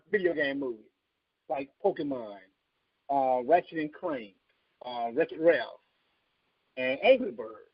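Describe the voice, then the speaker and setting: A man co-hosting a podcast in casual discussion, speaking informally and a little haltingly. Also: on the high side, vocal fry, husky, no room sound. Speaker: podcast co-host. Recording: dull, muffled lo-fi audio.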